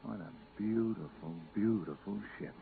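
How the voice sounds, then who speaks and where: An actor playing an eager, homesick space crewman with a gravelly voice in a 1950s radio drama. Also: lowish voice, normal speed. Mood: subdued. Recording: narrow-band 1950s radio recording.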